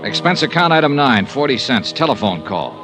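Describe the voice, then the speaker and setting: An actor playing a tough, wry insurance investigator, narrating and talking in a 1950s radio drama with crisp, brisk delivery. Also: male voice, mid-range voice, sing-song, over music, natural voice. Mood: determined. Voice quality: crisp, brisk, dry wit.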